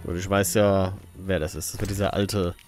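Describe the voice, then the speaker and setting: A man's voice: sits low, fairly even intonation, moderate pace, a little mellow, faint music behind. A man giving casual, informal let's play commentary, joking and muttering to himself as he plays. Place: voice-over recorded apart from the game, game music behind.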